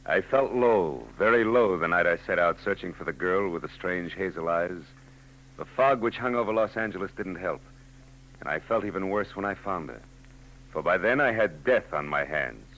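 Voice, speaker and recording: An actor playing a weary hard-boiled private eye narrating a 1940s radio drama in dry, world-weary first person. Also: male voice, low-pitched, animated, normal speed, fairly bright tone, a little hiss or hum, low-quality recording. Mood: gloomy. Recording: old radio broadcast with music under.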